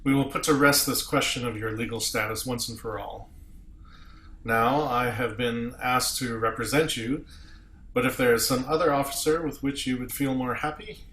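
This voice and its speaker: Man playing an earnest starship captain, bright echoey voice. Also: medium pitch, fairly even intonation, normal speed, close to an everyday voice. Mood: earnest, supportive. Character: starship captain acting as earnest, forceful defense counsel. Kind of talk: amateur table read of a sci-fi courtroom script. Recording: echoey room with background noise.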